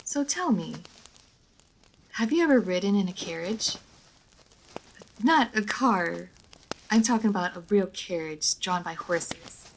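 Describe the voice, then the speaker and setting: A woman's voice: mid-range voice, animated, measured pace, long pauses, slight background noise, rough recording. A woman playing a centuries-old female vampire in a roleplay audio drama, prim, proud and guarded yet playful, with formal, old-fashioned phrasing. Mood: composed, instructing.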